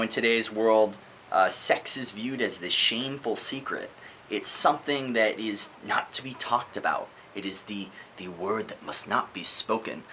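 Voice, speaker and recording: A man with a slightly low, sharp and forceful voice talks casually as a vlog host. He is emphatic and opinionated, repeats words to drive them home and swears freely. Faint noise sits behind the voice. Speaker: vlog host reviewing books. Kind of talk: casual opinionated video monologue.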